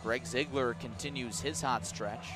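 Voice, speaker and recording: Male baseball radio announcer, mid-range voice, measured play-by-play with dry asides, outdoor ballpark setting. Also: mid-range voice, over music. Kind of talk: live radio sports commentary.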